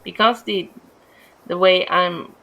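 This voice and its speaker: A woman's voice: lowish voice, steady tone, slow pace, creaky, a bit bright. A middle-aged woman with a Norwegian accent, talking through a city-building game in a rambling, easily distracted way with lots of restarts. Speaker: gameplay streamer. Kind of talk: casual let's-play commentary. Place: home.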